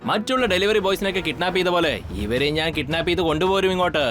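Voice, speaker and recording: A man's voice: sits high, very fast, fairly bright tone, slight background noise. A man voicing a boastful cartoon villain who schemes and gloats, laughing at his own plans. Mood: gloating. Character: boastful adult male villain gang boss. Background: cartoon music.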